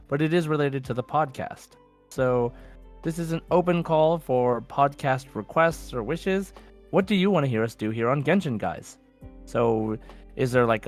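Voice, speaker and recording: A man co-hosting a podcast, talking to listeners in a casual, informal and conversational way. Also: mid-range voice. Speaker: podcast co-host.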